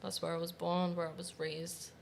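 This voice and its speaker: A woman's voice: on the low side, little pitch movement, moderate pace, vocal fry, a little hiss or hum. A confident woman, speaking candidly and laughing easily as an interview guest. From Manchester, England. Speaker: guest, professional dominatrix. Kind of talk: casual interview chat.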